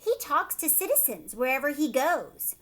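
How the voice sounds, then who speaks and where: A woman teacher reading a picture book aloud to children, expressive, with explanatory asides and questions. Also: high voice, normal speed.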